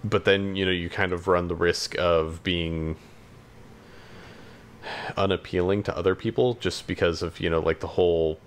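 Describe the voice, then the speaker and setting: A man co-hosting a podcast in casual chat, talking in a hesitant, halting way. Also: low-pitched, fairly even intonation, slight background noise. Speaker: podcast co-host.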